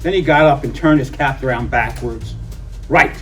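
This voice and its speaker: A man reading a children's story aloud in an expressive narrating style. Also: mid-range voice, a little fast. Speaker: storybook reader. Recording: distant mic, music behind.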